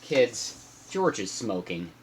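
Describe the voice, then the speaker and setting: A man with a husky voice reads a picture book aloud in an animated, playful way, with teasing asides as a father would to his child. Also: lowish voice, slow, a little hiss or hum. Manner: acts out shouts and sound effects, teasing.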